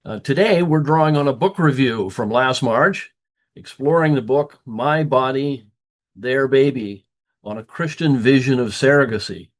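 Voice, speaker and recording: A man's voice: mid-range voice, unhurried. An older man who is a grandparent, hosting a monthly conversation series and interviewing a guest with frequent hesitations and fillers. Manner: warm, hesitant with many fillers. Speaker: host.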